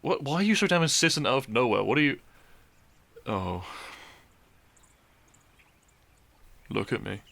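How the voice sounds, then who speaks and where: A man's voice: medium pitch, sing-song, brisk, gravelly, a bit bright, heavily acted. A man playing a depressed, dry-witted young man in an intimate audio roleplay, speaking with hesitant, awkward teasing to his best friend. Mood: dry, exasperated.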